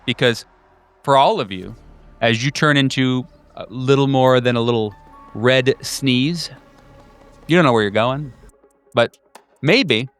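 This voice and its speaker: A man hosting a tabletop horror game, improvising narration and table chat in a halting, searching way with repeated words. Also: mid-range voice, fairly bright tone, clean tone. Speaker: game master and host. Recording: faint music behind the voice. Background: faint music.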